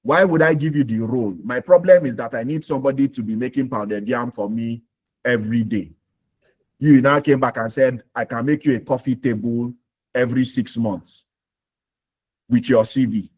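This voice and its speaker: A man's voice: medium pitch, normal speed, darkish tone, lo-fi sound. Nigerian man hosting a career webinar. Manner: explains with homely examples, repeats points, asks "you understand?". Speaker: webinar host. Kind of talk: live Q&A webinar.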